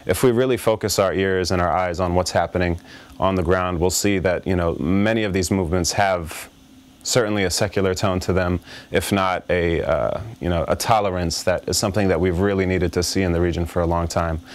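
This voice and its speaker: A man with a very low voice speaks thoughtfully in an interview. He stops and restarts often, filling gaps as he weighs his words. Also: steady tone, fairly quick. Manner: thoughtful, many fillers and restarts. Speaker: interview guest, rapper. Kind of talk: reflective interview answers.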